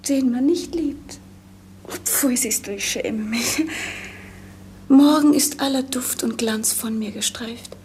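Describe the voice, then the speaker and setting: An actress playing a melancholy, dreamy young princess in a 1950s German radio play, with lyrical, pensive delivery. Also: female voice, fairly high pitch, slow pace, long pauses, a little mellow. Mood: anguished, pleading. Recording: noisy 1950s radio recording.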